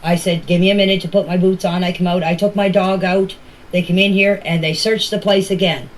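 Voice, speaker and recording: A woman's voice: lowish voice, fairly even intonation, fairly quick. An older woman speaking earnestly and emphatically in informal voice messages, often repeating herself for emphasis. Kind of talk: informal voice messages to a group chat. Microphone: phone voice recording. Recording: noisy voice message clips.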